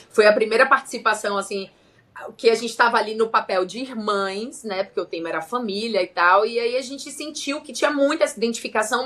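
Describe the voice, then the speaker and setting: Chatty Bahian woman co-host. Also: on the high side. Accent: Bahian Brazilian Portuguese. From Salvador, Bahia, Brazil. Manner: lively, chatty, teasing. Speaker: podcast co-host, journalist and presenter. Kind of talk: casual chat. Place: home studio, remote recording.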